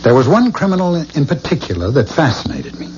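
An actor in a 1970s radio drama playing an anguished, haunted man who believes he is a killer reborn, with intense delivery and weighted pauses. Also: on the high side, sing-song, a little mellow. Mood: grave, intense. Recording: dull, noisy 1970s radio recording.

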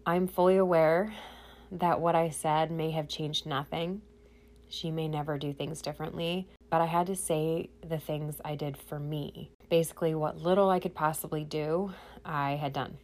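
A woman's voice: low-pitched, steady tone, moderate pace, darkish tone. A woman hosting a podcast, congested from a cold, talking earnestly and directly to her listeners in a personal monologue. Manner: earnest, speaking straight to listeners. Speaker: podcast host.

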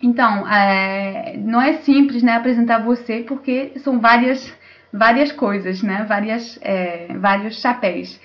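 A woman hosting a panel, introducing it in a semi-formal, somewhat halting way over an online call. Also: a little high. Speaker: host and moderator. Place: remote video call. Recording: dull lo-fi online call audio.

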